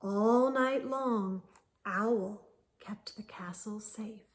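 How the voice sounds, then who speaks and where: A woman hosting children's storytime, reading a picture book aloud expressively with deliberate, drawn-out phrasing. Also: medium pitch. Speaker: children's storytime host and reader.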